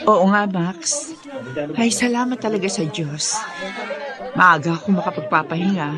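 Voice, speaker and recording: A woman's voice: on the low side, normal speed, a crowd in the background, lightly acted. An actress playing a firm, devoted poor market-vendor mother in a Filipino radio drama, speaking in an earnest, conversational way. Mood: relieved, friendly.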